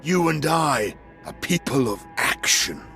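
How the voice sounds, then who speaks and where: A menacing Sith villain from a video game. He is proud and wrathful, with a slow, higher-set male voice that swings theatrically. Music plays behind him. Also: big pitch swings, gravelly, pushed and bright, full character voice. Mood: contemptuous menace. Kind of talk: dramatic video game acting.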